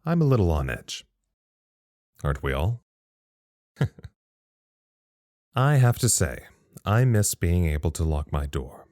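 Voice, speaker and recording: Low-voiced, reflective man, close and dry. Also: very animated, takes it slowly, pauses often, darkish tone, dry recording, full character voice. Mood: uneasy, confiding. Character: thoughtful, uneasy male log-keeper at a secret research site. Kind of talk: audio drama monologue as a recorded incident log.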